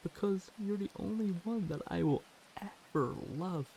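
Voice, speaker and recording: A man voicing a clingy, devoted stray catboy in an ASMR roleplay, pleading and submissive, in halting phrases. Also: sits high, vocal fry, a little hiss or hum, low-quality recording. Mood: adoring, obsessive. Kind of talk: ASMR roleplay monologue addressed to the listener. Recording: loud music and rain behind. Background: music and rain.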